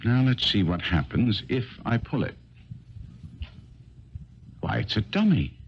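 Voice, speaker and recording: An actor playing a shrewd, methodical gentleman detective in a 1970s radio drama, musing with hums and probing questions. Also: fairly low pitch, sing-song, unhurried, creaky, exaggerated voice. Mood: probing, intrigued. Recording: dull, noisy 1970s radio recording.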